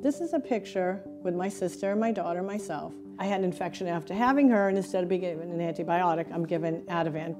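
A woman's voice: fairly low pitch, fast, few pauses. Woman telling her story, earnest and chatty, music under it. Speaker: support group founder. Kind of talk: documentary interview.

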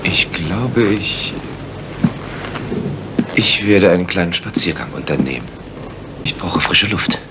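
An actor playing an earnest, polite gentleman sidekick who also narrates in an audio drama. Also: sits low, animated, slow pace, long pauses, noisy background, heavily acted. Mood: earnest, concerned.

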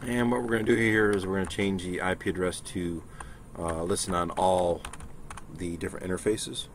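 Low-voiced man over background noise. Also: moderate pace. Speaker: software tutorial presenter. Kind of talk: casual screen-share walkthrough. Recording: clear steady background noise.

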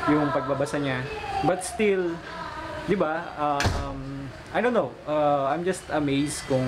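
A man's voice: fairly high pitch, heavy background noise. A man in his thirties with a Filipino accent, chatting casually as a father hosting a family vlog. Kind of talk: casual family vlog chat, mixing Tagalog and English. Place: small furnished living room. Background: background music.

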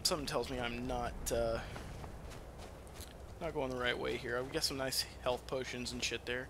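A man giving casual let's-play game commentary in an excitable, foul-mouthed manner. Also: mid-range voice, moderate pace, background noise. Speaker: gamer playing and commentating.

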